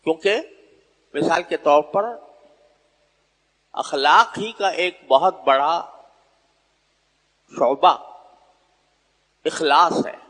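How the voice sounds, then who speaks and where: Male Islamic preacher, slightly high voice, deliberate phrasing with pauses, formal sermon delivery, long hall reverb. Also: pushed and bright. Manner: deliberate, pausing between short phrases. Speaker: Islamic preacher addressing listeners. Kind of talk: sermon, unscripted religious exposition.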